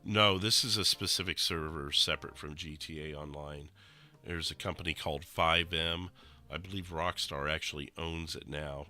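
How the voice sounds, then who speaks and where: Young man, very low voice, sharp bright tone, nasal and rough, rambling gamer chat. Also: normal speed. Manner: relaxed, rambling explanations with many fillers. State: nasal and rough from recent illness. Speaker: streamer explaining a roleplay server. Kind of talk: casual gameplay commentary.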